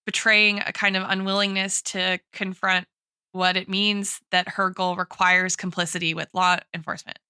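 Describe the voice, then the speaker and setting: Woman, podcast co-host, bright forceful voice, clear, academic, analytical discussion, peppered with "like" and "right". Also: medium pitch, little pitch movement, normal speed, no room sound, clean studio sound. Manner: academic, hedging with frequent "like" and "right".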